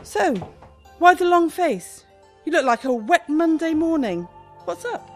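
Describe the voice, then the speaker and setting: Female, British, bossy excitable shop owner, radio comedy; high, swooping, brisk delivery. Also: soft background music. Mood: probing concern. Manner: brisk, chatty, theatrical. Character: bossy, fussy, easily panicked woman shop owner. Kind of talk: radio play comedy acting.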